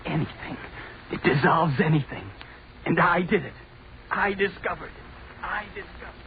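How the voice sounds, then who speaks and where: An actor as an obsessed student scientist, crackly radio. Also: male voice, high-pitched, moderate pace, phone-quality sound, lightly acted. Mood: dazed triumph. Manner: intense, repetitive declaiming. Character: obsessed young male chemistry student, awed by his creation. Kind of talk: old-time radio drama acting. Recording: noisy, thin old radio recording.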